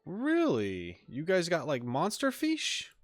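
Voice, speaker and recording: A man's voice: fairly high pitch, huge pitch swings, slow, soft background music. A man giving casual, slangy gameplay commentary as a streamer in an offhand manner. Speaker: video game streamer.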